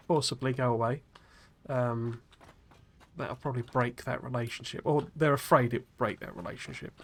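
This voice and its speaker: A man streaming a game live, chatting in a rambling way with lots of fillers and restarts, his voice a little gruff after a cold. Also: medium pitch, fairly bright tone. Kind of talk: casual live-stream chat while playing.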